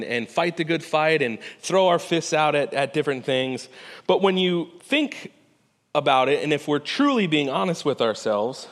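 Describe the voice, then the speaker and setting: A man preaching in a church, his voice ringing out with a long reverb tail. He talks earnestly and conversationally, stumbling over restarts and tossing in "right?" to the congregation. His pitch sits in an ordinary middle range. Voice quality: loud voice. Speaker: pastor. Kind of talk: sermon. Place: church sanctuary. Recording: hall reverb.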